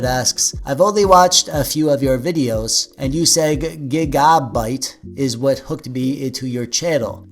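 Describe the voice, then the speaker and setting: A man in his forties from Canada, with a nasal voice and a slight stutter, answering viewer questions in a joking, dorky way. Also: medium pitch. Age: 40s. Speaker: YouTube host. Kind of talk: casual Q&A vlog.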